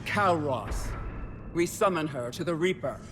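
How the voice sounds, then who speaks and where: A man voicing a calm, reverent alien lore-keeper in a video game, measured and grave. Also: fairly high pitch, background noise.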